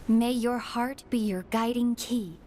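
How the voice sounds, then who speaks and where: An actress playing a calm, dutiful young woman who keeps the peace in a fantasy game cutscene, formal and polite. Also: on the high side, a little slow, background noise, lightly acted. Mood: concerned, loyal. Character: calm, dutiful, diplomatic young female apprentice mediator. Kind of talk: dubbed animated fantasy game cutscene acting. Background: music.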